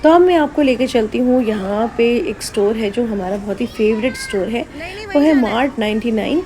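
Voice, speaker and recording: A woman's voice: fairly high pitch, moderate pace. A woman narrating a family vlog, mixing English phrases into her speech. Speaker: family vlogger. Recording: background noise behind voice.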